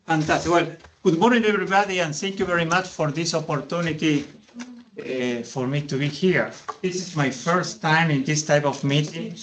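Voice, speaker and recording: A man's voice: a little high, moderate pace, a bit bright, slight background noise. A man in his fifties from Argentina with an Argentine Spanish accent, a community loan fund head giving an anecdotal presentation to a board meeting.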